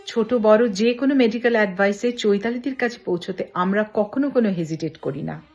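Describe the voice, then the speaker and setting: A woman interviewer with a Bengali accent and a medium-pitched voice. She introduces and questions her guest as host, with music playing faintly behind her. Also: fairly quick, a little mellow, lo-fi sound.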